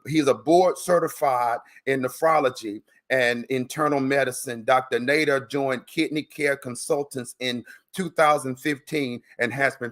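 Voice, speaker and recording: A man's voice: fairly high pitch, a bit bright. A man hosting an interview in a casual, encouraging way, speaking earnestly and repeating words for emphasis. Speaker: host and interviewer.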